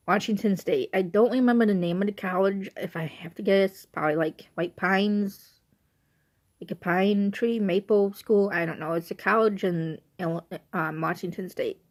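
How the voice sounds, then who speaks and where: Hoarse rambling young woman, Illinois accent. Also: medium pitch. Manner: rambling, repeats and restarts herself. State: hoarse, stuffy nose. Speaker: vlogger. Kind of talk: casual chat to camera. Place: at home on the couch. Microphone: handheld camera.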